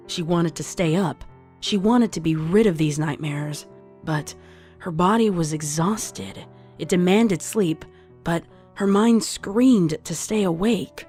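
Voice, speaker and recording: A woman's voice: on the low side, darkish tone. A woman reading scripted horror fiction as a dramatic story narrator. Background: music under the voice.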